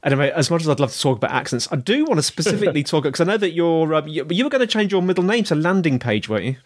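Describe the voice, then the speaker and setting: A man's voice: fairly high pitch, very animated, racing. A man hosting a podcast interview, asking friendly, rambling questions with many fillers. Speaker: podcast host.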